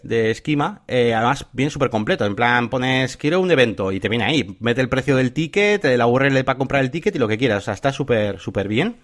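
A man talks through a list of points as a podcast co-host. He keeps checking in with his listeners and fills pauses with "eh". His voice sits at a middling pitch. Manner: enthusiastic, listy, frequent "eh" and "¿vale?". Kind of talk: casual tech podcast chat.

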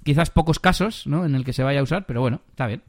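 A man chats casually as a podcast co-host. He explains with easy rhetorical questions at a middling pitch. His voice is dry and close, with no room sound. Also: quick pace, dry recording. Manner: relaxed, conversational, asks rhetorical questions. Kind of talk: casual tech podcast chat.

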